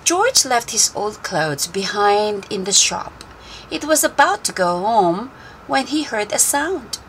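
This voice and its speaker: A woman reading a children's story aloud in an expressive, deliberate and animated way. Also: medium pitch, low-quality recording. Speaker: storybook reader. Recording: faint background noise.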